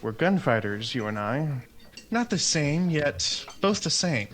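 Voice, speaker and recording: A man playing a fatalistic veteran gunfighter in a radio western, menacingly calm, deliberate and brooding. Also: fairly high pitch. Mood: grave, resigned. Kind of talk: old-time radio western acting.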